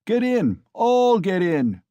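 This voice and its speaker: A man's voice: high-pitched, animated, unhurried, a little mellow, no room sound, dull audio, voice pushed up. A man voicing a cruel, drunken young male peasant who bellows and rages. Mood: drunken bellowing. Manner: bellowing, raging.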